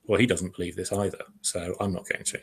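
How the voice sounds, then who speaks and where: A man's voice: low voice, fairly even intonation, a little fast, a bit bright, breathy edge. A man speaking as an interviewed writer, chatting casually and thoughtfully, a little hesitant, with self-deprecating humour.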